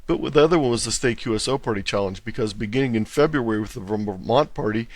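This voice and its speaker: A man's voice: medium pitch, fast, no room sound. A man talking as an interview guest, explaining things in a thorough, orderly way. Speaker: interview guest, contest organizer.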